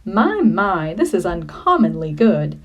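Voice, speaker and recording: Lady doing a storybook king, grand and jolly, sly and bossy, really swingy pitch. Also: big pitch swings, a little slow, dull audio. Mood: pleased wonder. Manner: grand, coaxing and knowing.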